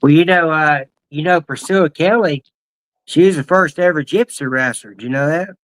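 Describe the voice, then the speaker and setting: A man hosting a casual interview over a video call, asking questions in a halting way with many restarts. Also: on the high side, a bit bright, poor audio. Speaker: interview host.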